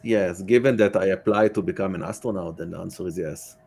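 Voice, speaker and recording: A man giving casual interview answers as a podcast guest, halting and matter-of-fact. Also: low-pitched, creaky, darkish tone, slightly rough. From Israel.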